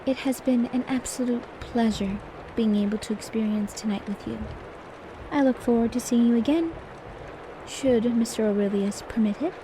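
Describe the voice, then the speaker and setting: A woman playing a poised, particular maid servant in an ASMR roleplay, speaking softly in a formal, measured way. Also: a little high, close to an everyday voice. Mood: courteous. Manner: soft, formal, measured, prim. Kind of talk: soft-spoken ASMR roleplay with poetry reading. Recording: clear background ambience noise.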